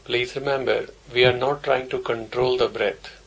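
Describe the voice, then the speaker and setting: A man's voice: medium pitch, steady tone, moderate pace, creaky, slightly rough, rough recording. A man teaching a guided meditation, giving simple instructions in short, repeated phrases. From India.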